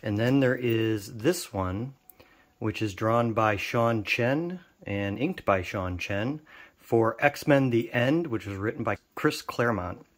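Relaxed man introducing his collection. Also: fairly low pitch, slow. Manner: relaxed, plain talk with fillers. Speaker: comic art collector. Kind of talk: casual introduction.

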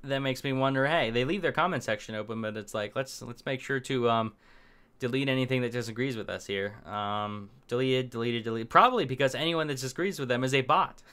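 A man's voice: mid-range voice, a little fast, bright, forceful tone, clean tone. A young man riffing unscripted on camera in a small room at his desk, rambling with fillers and restarts. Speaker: political commentary vlogger. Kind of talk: unscripted casual commentary.

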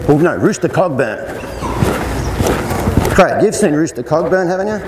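A middle-aged man talks through a riding demonstration in a conversational way, often repeating his points. His voice rings with long reverb in a big covered arena. There is loud noise behind him as he speaks into a headset mic. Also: fairly high pitch, lively intonation, normal speed. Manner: conversational, rhetorical questions, repeats himself. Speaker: horse trainer and clinician. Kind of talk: live riding clinic demonstration, talking to spectators. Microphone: headset microphone. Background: loud ambient noise.

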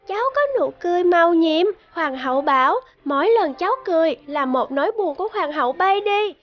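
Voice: extremely high pitch, lively intonation, brisk, muffled recording. An actor voicing a cheerful, imaginative little girl playing a princess in a cartoon, polite and eager as she tells her tales. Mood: earnest, proud. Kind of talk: animated cartoon dialogue.

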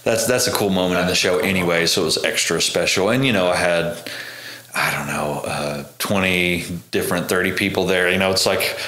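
A man's voice: sits low, a little fast, bright, forceful tone, reverberant. A man in his thirties with an American accent, chatting casually and telling stories as a podcast guest, enthusiastic and self-deprecating. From Oklahoma, United States. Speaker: touring bass player, podcast guest. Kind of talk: casual conversational storytelling. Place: small indoor room. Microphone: podcast microphone close in front.

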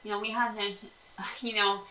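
A woman's voice: medium pitch, level tone, normal speed, bright, forceful tone. An American woman in her thirties co-hosting a homesteading vlog, chatting through tips to camera. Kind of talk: explanatory chat to camera with tips. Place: indoors at a table in a small sunlit room by windows. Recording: echoey room, distant mic, faint noise.

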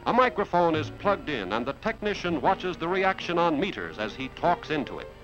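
An American man narrates in the scripted style of a 1950s industrial film. His pitch moves in lively swings as he explains things like an announcer. The sound is a dull, band-limited vintage film track with faint music underneath. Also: normal speed. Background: background music.

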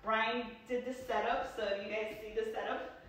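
A woman's voice: fairly high pitch, steady tone, moderate pace, a bit bright, distant mic, slight background noise. A woman from New York giving a casual walking tour of her new house, chatting with lots of ums and likes. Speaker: vlogger giving a home tour. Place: indoors, sparsely furnished new house. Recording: echoey bare rooms, voice off-mic.

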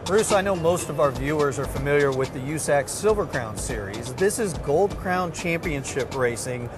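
Higher-voiced male TV host over music. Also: expressive, normal speed. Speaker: TV show host. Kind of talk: scripted intro and interview questions. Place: indoor trade show hall. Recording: music bed under voice.

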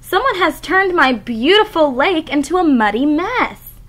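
A woman voicing a big, bossy, quick-tempered female brontosaurus who shouts her lines in a storybook reading. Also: sits high, animated, voice pushed up. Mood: angry. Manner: stomping, shouting outbursts.

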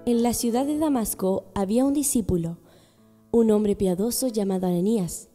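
Mellow, reverberant woman narrator. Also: mid-range voice, normal speed. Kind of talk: scripted radio drama narration. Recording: long reverb, music underneath.

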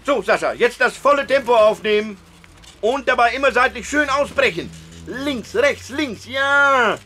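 An actor playing a brash, blunt bike-courier trainer in a radio comedy, colloquial, self-righteous and exasperated. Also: male voice, very high voice, lively intonation, a little slow, sharp tone, slight background noise, exaggerated voice. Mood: urgent, barking. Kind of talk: radio comedy sketch, colloquial interview and shouted coaching.

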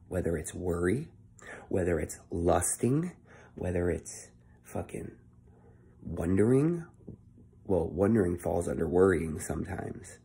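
A young man giving a casual motivational monologue into his phone at home, keeping his voice low and swearing freely. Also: gravelly, dark tone, slightly rough. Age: young adult. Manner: talking low and swearing often, earnest. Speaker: vlogger. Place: indoor room at home. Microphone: phone held close at arm's length.

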